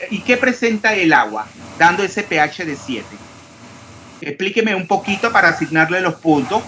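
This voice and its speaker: A man from Panama, teaching a chemistry class online and questioning students. He talks about clear diction and sounds out every s. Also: high-pitched, sharp tone, slight background noise, rough recording.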